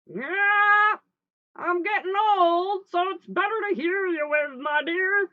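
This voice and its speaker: A woman voicing a sly, hungry male wolf from a storybook, with an exaggerated, drawn-out, wheedling and falsely friendly delivery. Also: extremely high pitch, phone-quality sound, pitched above the performer's own voice. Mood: disguised, menacing.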